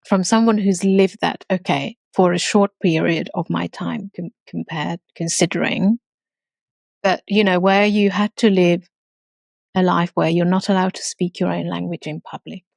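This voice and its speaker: Woman from Romania, earnest, halting restarts, low gravelly voice, dry close mic. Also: steady tone, a little mellow, no room sound. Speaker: guest entrepreneur. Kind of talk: casual interview talk.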